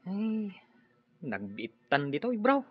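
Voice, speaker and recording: Reluctant young guy, complaining, very lively swooping intonation, Ilocano accent, radio drama, slightly muffled. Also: high-pitched, takes it slowly, husky, dry recording, exaggerated voice. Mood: reluctant, whiny.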